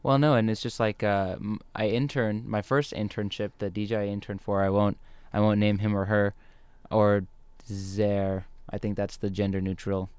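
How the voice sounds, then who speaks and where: Smooth-voiced young American man, lowish pitch. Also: level tone, moderate pace, few pauses, a little mellow, dry recording. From California. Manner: rambling, informal. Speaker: guest, trainee DJ. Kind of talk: casual interview chat. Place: radio studio.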